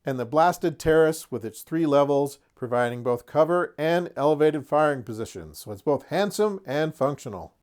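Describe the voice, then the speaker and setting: A man's voice: on the high side, lively intonation, normal speed, hardly any pauses, darkish tone. A man hosting a casual tabletop product review, chatty and folksy, with lightly humorous asides. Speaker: host of a tabletop wargaming terrain review. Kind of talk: casual product showcase commentary.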